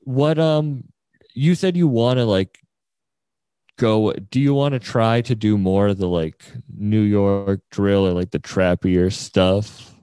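Guy doing a podcast interview, mellow relaxed voice, super dry close sound, slangy n encouraging. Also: on the low side, slow, clean tone, no room sound. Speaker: podcast host interviewing a musician. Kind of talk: casual conversational interview.

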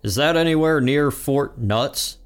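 A man voicing a dim, crude teenage boy from a cartoon, with a slow, hesitant, dopey delivery. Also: medium pitch, soft background music, natural voice.

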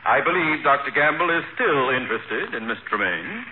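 A man's voice: medium pitch, big pitch swings, moderate pace, close to an everyday voice. An American man playing a pompous, self-satisfied mayor in 1940s radio comedy, speaking formally and with flustered hesitation. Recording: noisy, tinny 1940s radio broadcast.

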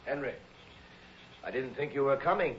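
An actor playing an uneasy, resentful blackmail victim, subdued and stiff, in 1960s radio drama style. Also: male voice, medium pitch, moderate pace, natural voice. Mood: uneasy, resigned. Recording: muffled, hissy vintage radio recording.

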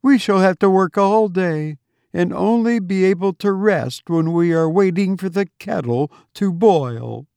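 A man reading an audiobook and voicing a young wife who is upset and tearful, in a high, pleading, fretful tone. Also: measured pace, vocal fry, a little mellow, clear, resonant tone, dry recording, clean studio sound. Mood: complaining. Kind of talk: audiobook character voice.

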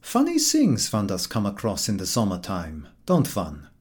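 A man's voice: fairly low pitch, huge pitch swings, normal speed, a little mellow, husky, exaggerated voice. A man voicing a sardonic, world-weary carriage horse with a dry, disdainful manner in a storybook reading. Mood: mock amazement.

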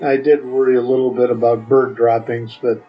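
A man's voice: mid-range voice, little pitch movement, fairly quick, soft background music. Dull lo-fi recording of older man. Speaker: podcast guest. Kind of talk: answering interview questions casually.

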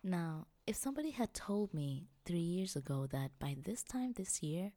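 A woman's voice: fairly low pitch, big pitch swings, normal speed, dark tone, no room sound. A young woman, hosting a podcast and telling her own story in a reflective, personal way. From Tanzania. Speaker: podcast host.

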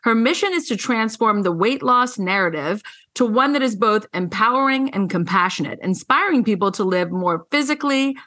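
A woman's voice: a little high, expressive, moderate pace, sharp tone. A woman hosting a podcast interview, effusive and affirming in how she talks. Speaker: podcast host. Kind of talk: scripted guest introduction, then casual interview chat.

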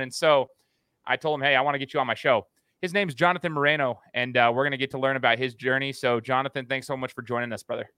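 An American man hosts an interview podcast in a bright, punchy voice. He sits at a middle pitch and sounds close and dry, with no room around him. His way of talking is informal and chatty, like one buddy to another. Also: clear, resonant tone, no room sound. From United States. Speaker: podcast host. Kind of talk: casual, chatty interview hosting.

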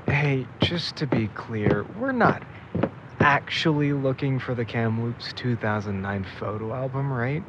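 A man's voice: mid-range voice, animated, measured pace, close to an everyday voice. A man playing a laid-back, slightly clueless young sidekick who talks casually in an audio drama. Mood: casual, puzzled. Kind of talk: audio drama acting, naturalistic. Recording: muffled, loud background noise.

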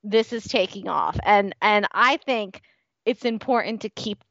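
A woman's voice: medium pitch, measured pace, fairly bright tone, dry recording, highs cut off. A woman co-hosting a fan podcast in casual, amused chat. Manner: giggly, amused. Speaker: podcast co-host.

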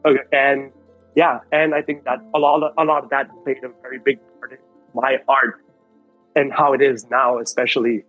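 A man's voice: medium pitch, little pitch movement, moderate pace, no room sound, phone-quality sound. Young male guest from Malaysia, casual rambling storyteller, dead-dry mic, faint music under. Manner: loose and rambling, many likes and restarts. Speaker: podcast guest, artist.

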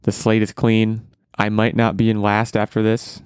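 A man hosting a podcast in casual chat, rambling and self-deprecating, his voice going hoarse. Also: lowish voice, completely flat delivery, normal speed, no room sound, clean studio sound. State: losing his voice. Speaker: podcast host.

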